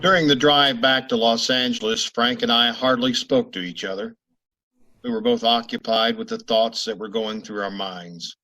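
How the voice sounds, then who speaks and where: A man acting a terse, courteous police sergeant and narrator in an old radio drama, clipped and deadpan. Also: medium pitch, moderate pace, low-quality recording. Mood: matter-of-fact narration. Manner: clipped, deadpan, formally polite.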